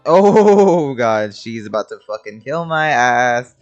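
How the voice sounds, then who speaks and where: A young adult game streamer talks casually while playing. The voice sits at a middling pitch but swings widely, exclamatory and prone to swearing. Game music runs faintly underneath. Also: very animated, slow, lo-fi sound. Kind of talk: casual reactive gameplay commentary. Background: video game music and combat.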